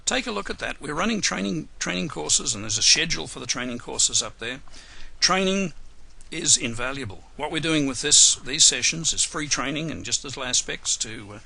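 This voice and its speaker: A hoarse man runs a live software webinar, working through steps with plenty of ums and restarts. His voice is gravelly and husky, yet sharp and forceful in tone. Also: fairly high pitch, lively intonation, low-quality recording. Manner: unhurried, many fillers and restarts. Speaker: software trainer.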